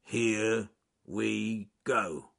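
A man voicing a big gentle male bear who talks slowly and deliberately with a growl. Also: lowish voice, fairly even intonation, vocal fry, exaggerated voice. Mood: ponderous.

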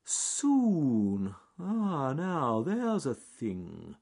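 A man voicing a slow, careful, plodding male tortoise in a children's storybook, speaking deliberately. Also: medium pitch, wildly swooping pitch, vocal fry, a little mellow, husky, voice pushed up, full character voice. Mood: careful, pondering.

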